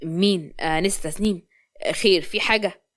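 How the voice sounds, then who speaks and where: A woman's voice: lowish voice, normal speed, pitched below the performer's own voice, lightly acted. A woman voicing a curt, easily exasperated young businessman in a story, with an Egyptian accent. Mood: flustered. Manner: curt, scolding.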